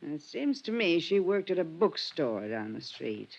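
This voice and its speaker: A woman's voice: deep voice, big pitch swings, measured pace, gravelly, heavily acted. An actress playing a weary, suspicious landlady of a shabby rooming house in a 1950s radio drama, speaking in terse, ungrammatical phrases. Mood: grudgingly helpful. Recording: muffled 1950s radio recording.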